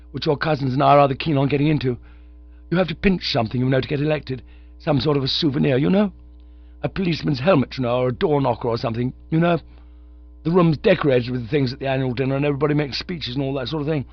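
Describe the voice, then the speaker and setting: A man's voice: a little high, creaky, no room sound, lo-fi sound, pitched above the performer's own voice. A man doing a chattering posh young student. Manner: rattling, chummy, full of "you know". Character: breezy, chattering young male Oxford student.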